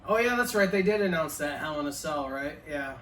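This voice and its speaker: A man's voice: a little high, expressive, a little fast, a bit bright, slight background noise. A man in his early forties co-hosting a casual chat show, with laid-back, profane banter. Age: 40s. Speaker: podcast co-host. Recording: echoey room, mic at a distance.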